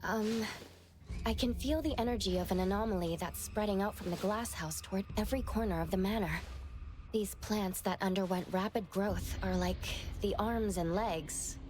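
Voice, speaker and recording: A woman's voice: medium pitch, moderate pace, a little mellow, slight background noise, close to an everyday voice. A woman playing an earnest, perceptive young investigator in a game cutscene, explaining what she senses intently. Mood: earnest, explanatory. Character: earnest, perceptive young female anomaly sensor. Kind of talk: game cutscene dialogue acting.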